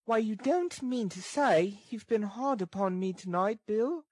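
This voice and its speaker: Man acting a meek, tender woman, high-pitched. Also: animated, unhurried, dry recording, clean studio sound. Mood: tender, pleading. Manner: soft, pleading, tender. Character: weak, worn young woman, tender and long-suffering.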